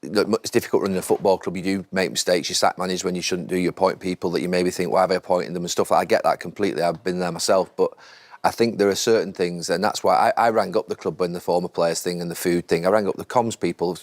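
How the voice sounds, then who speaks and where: A man's voice: sits low, steady tone, fast, dry recording. A man working as a broadcaster and pundit discussing football on a panel, emphatic and prone to repeating his points.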